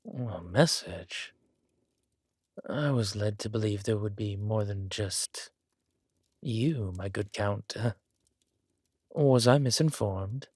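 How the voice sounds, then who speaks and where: A man voicing a suave fantasy king in a villain roleplay audio drama, speaking with smooth, measured and quietly threatening courtesy. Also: on the low side, no echo, studio quality. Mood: probing. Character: suave menacing male fantasy king, softly imposing.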